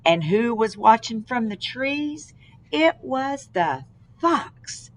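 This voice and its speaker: Woman reading a storybook at an unhurried pace. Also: a little high, very animated. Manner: dramatic, deliberate phrasing. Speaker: storybook reader. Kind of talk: expressive children's read-aloud.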